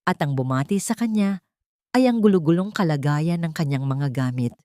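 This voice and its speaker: A woman's voice: low-pitched, moderate pace, mellow, no room sound, studio quality. A woman narrating a scripted dramatic love story in a solemn, sentimental manner.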